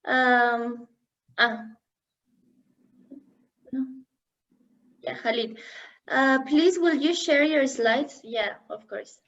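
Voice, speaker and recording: A woman's voice: high voice, very slow, long pauses, a bit bright, muffled recording. A woman moderating an online session, prompting participants with questions. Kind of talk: moderating a webinar. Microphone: video call.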